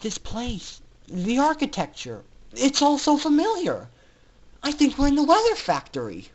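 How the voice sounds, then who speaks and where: Guy doing feisty little filly in a story, high voice, urgent and mouthy. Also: wildly swooping pitch, unhurried, highs cut off, exaggerated voice. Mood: amazed. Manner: urgent, outspoken. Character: defiant young female pegasus filly. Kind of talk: dramatic fan fiction reading.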